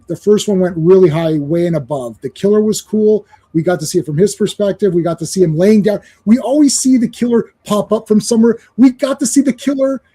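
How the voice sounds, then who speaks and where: A man's voice: high-pitched, breathy edge. A man whose first language is Croatian, chatting on a podcast about horror movies and laughing as he tells stories. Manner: animated storyteller, laughs often. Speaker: podcast co-host, reviewer. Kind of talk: casual podcast chat.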